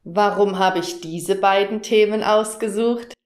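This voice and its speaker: A woman in her thirties from southern Germany, a German teacher presenting a lesson to learners in a clear, didactic way with careful enunciation. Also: medium pitch, steady tone, measured pace. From southern Germany, near Stuttgart. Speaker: German teacher, workshop host. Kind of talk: instructional presentation to camera, addressing learners directly. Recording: roomy, echoing room sound.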